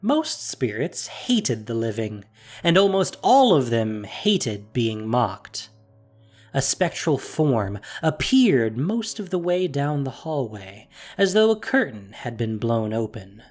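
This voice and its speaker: A man reading a fantasy story aloud in a measured, expressive way. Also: medium pitch. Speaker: narrator. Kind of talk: storybook narration.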